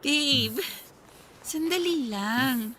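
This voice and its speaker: A woman's voice: high-pitched, lively intonation, very slow, bright, forceful tone, a little hiss or hum, exaggerated voice. An actress playing a devoted, anxious young Filipina student girlfriend in a radio drama, pleading and affectionate. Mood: uneasy, protesting. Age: young adult. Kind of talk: Tagalog radio drama acting.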